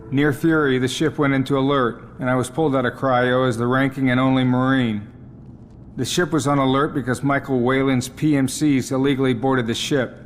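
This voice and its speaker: A man's voice: mid-range voice, slight background noise, natural voice. A man playing a weary, determined marine survivor with a dry, terse way of talking in a video game. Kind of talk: video game dramatic dialogue. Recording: long hall reverb.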